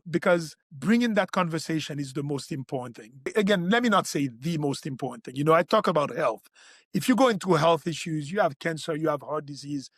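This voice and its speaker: A man's voice: a little high, no echo, clean studio sound. A man who hosts a health podcast, a doctor whose first language is not English, explaining in an earnest tone with frequent restarts. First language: French or Creole. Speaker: physician podcast host.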